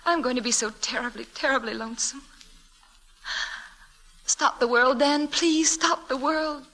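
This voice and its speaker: High-voiced, lovestruck young schoolteacher, vintage radio. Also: female voice, a little slow, bright, forceful tone, heavily acted, rough recording. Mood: tearful, pleading. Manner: emotional, breathless exclamations and endearments. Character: tender, devoted young schoolteacher in love. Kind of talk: old-time radio drama acting. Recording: vintage radio drama with music.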